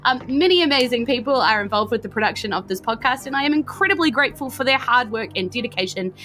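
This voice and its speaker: A woman's voice: on the high side, expressive, quick pace, sharp tone, soft background music. A woman, narrating and hosting as game master in a chatty, theatrical way. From New Zealand. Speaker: game master and podcast host.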